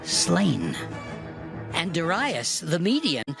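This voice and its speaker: A man's voice: fairly high pitch, huge pitch swings, extremely slow. A man narrating a dramatized scripture reading in formal, storytelling archaic English. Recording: music clearly under the voice.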